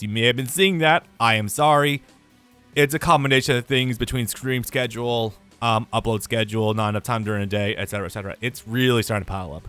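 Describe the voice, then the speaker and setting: A young man with a tired, raspy voice, talking casually and rambling to his gaming channel's viewers, with lots of fillers and some swearing. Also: mid-range voice, fairly bright tone, no room sound. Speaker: gaming YouTuber. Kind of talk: casual year-review vlog.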